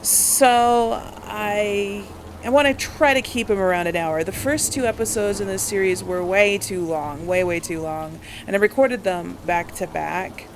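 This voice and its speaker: A woman's voice: mid-range voice, measured pace, slight background noise. A woman hosting a casual let's play, chatting to viewers and talking to herself as she plays, with exclamations. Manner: talks to self while playing, exclaiming. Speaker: gaming video host.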